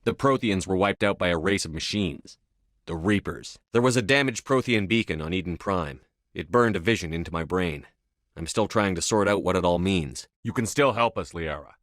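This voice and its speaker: A man's voice: low-pitched, moderate pace, fairly bright tone, dry recording, clean studio sound. A man playing a resolute, authoritative starship commander in a video game, speaking in a terse, controlled, commanding way. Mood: steady, determined. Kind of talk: video game dialogue acting.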